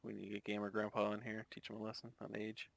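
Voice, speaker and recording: A man in his mid-thirties chatting over voice chat, measured and going through game tactics in detail. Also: lowish voice, completely flat delivery, gravelly, no room sound. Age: 30s. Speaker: gamer in voice chat. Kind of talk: casual voice chat.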